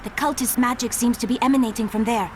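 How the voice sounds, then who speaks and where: A woman playing a calm mystical enchantress. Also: fairly high pitch, noisy background, lightly acted. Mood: composed. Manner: measured, formal and foreboding. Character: mystical young female enchantress, calm and wise. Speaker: companion enchantress. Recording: game audio with loud music.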